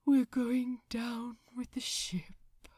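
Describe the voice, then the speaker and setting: A woman voicing a gentle, injured male angel, sorrowful, in a rough, breathy near-whisper. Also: a little high, monotone, very slow, dry recording, studio quality, voice pushed up, exaggerated voice. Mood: despairing.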